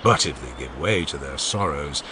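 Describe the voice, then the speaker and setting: A man's voice: very deep voice, expressive, moderate pace, noisy background. A man reading a classical funeral oration aloud in a solemn, declamatory way, his long phrases rising and falling. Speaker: audiobook narrator. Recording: faint background ambience and music under the voice.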